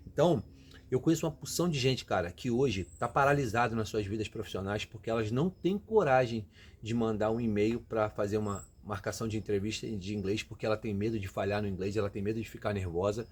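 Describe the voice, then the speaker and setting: A man's voice: lowish voice, quick pace, slightly rough. A Brazilian man giving emphatic, motivational advice directly to his viewers in a casual way. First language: Portuguese. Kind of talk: motivational casual talk to camera.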